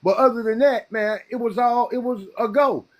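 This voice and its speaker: A man in his fifties arguing forcefully, lecturing the others in a casual debate. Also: very high voice, normal speed, lo-fi sound. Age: 50s.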